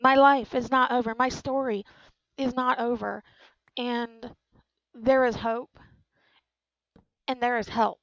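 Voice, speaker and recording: A woman's voice: a little high, flat delivery, takes it slowly, gravelly, pauses often, fairly bright tone, no echo, highs cut off. A woman in her twenties, speaking frankly and earnestly about her own life, repeating key lines for emphasis. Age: 20s. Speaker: channel host. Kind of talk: personal testimony to camera.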